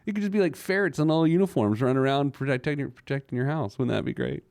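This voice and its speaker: A man's voice: mid-range voice, fast talker, a little mellow, no room sound, studio quality. A man hosting a personal finance show, giving conversational advice in an emphatic manner with rhetorical questions and joking asides.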